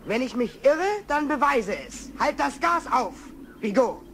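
An actor voicing a young lion prince, earnest and brave, in a children's radio play. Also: male voice, very high voice, sing-song, unhurried, a little hiss or hum, heavily acted. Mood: pleading. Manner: earnest, pleading. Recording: vintage radio play with loud music.

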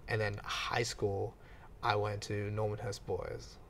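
A man's voice: lowish voice, level tone, slow pace, creaky, fairly bright tone, slight background noise. A man, chatting candidly as a podcast guest and laughing at himself now and then. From Australia. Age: 20s. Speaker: podcast guest, gym owner. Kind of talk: casual podcast chat.

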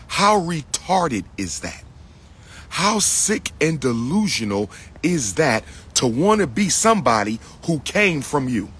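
A man's voice: a little high, fairly bright tone, breathy edge. A middle-aged man giving an impassioned, preachy monologue into a phone held at arm's length in an outdoor park. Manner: preachy, emphatic, repetitive, rising to declamation. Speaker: lecturer addressing followers. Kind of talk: impassioned selfie video monologue.

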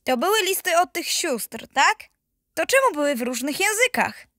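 A woman's voice: extremely high pitch, big pitch swings, normal speed, sharp tone, dry recording, clean studio sound, exaggerated voice. A woman voicing an inquisitive, sceptical young grandson in a children's radio play.

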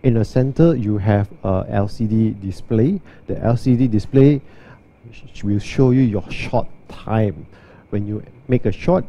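A man, giving a steady, step-by-step walkthrough and demo of an espresso machine. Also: fairly low pitch, measured pace, muffled recording, slight background noise. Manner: steady, step-by-step instructional delivery. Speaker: barista demonstrating an espresso machine.

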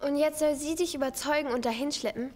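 Actress as a cheeky, high-voiced teenage girl. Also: fast, darkish tone, slight background noise, natural voice. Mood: cheeky, curious. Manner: casual, cheeky.

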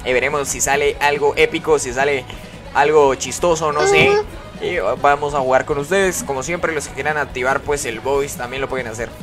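A man's voice: medium pitch, fairly even intonation, moderate pace, over music. A man hosting a casual live game stream, chatting with followers, greeting them by name and reading their comments aloud. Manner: chatty, greets viewers and reads chat aloud.

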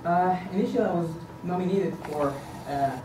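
A man's voice: sits high, moderate pace. A man in his thirties from Egypt, giving an earnest campaign speech at a podium, with frequent hesitations and fillers. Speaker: board candidate. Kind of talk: campaign presentation. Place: meeting room indoors, at a podium. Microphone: far camera. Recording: echoey room from a distance, background hiss.

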